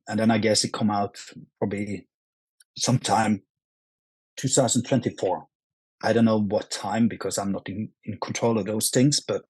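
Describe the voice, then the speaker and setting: A man with a Norwegian accent, speaking English as a second language, answering interview questions in a halting way full of restarts and fillers. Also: on the low side, darkish tone, slightly rough, no room sound, rough recording. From Norway. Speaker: actor, guest. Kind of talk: casual interview.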